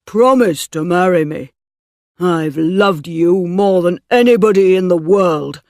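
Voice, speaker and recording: A man reading the part of a middle-aged, lovesick farmer in an audiobook, speaking slowly and earnestly. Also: sits high, a little mellow, dry recording, clean studio sound. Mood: pleading. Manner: slow, earnest, pleading.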